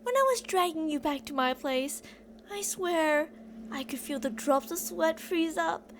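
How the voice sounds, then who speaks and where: A woman's voice: high-pitched, measured pace, slight background noise. A woman playing an obsessive, delusional female kidnapper who rambles theatrically and teasingly to a captive in an ASMR roleplay. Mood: chatty, complaining.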